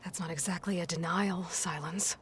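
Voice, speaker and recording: An actress playing a determined, suspicious young outcast warrior in a video game, with a dry, blunt, sarcastic and guarded delivery. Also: female voice, on the low side, fairly even intonation, normal speed, a little hiss or hum, close to an everyday voice. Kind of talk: video game cutscene acting.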